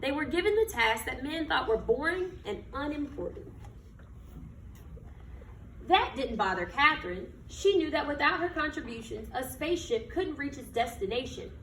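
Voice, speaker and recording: A woman's voice: sits high, expressive, moderate pace, a bit bright. A woman reading a children's picture book aloud with emphatic, dramatic phrasing and questions put to her listeners. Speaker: read-aloud storyteller. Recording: roomy echo, distant mic, background noise.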